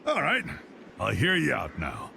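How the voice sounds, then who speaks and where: Game role: gruff old American chieftain, gravelly, folksy and commanding, very animated pitch. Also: male voice, a little slow, noisy background, exaggerated voice. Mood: hearty, commanding. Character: blustery, hearty old male guild boss spoiling for a fight. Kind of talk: video game voice acting.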